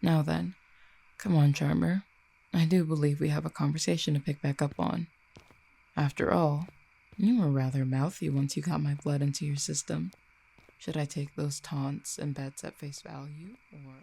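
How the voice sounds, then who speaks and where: Casting: composed, dangerous exiled cupid for audio roleplay performed by an actor, eloquent and formal, dark creaky voice of medium pitch. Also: fairly even intonation. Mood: teasing. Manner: measured, formal, eloquent phrasing. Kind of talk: audio roleplay acting, addressed to listener.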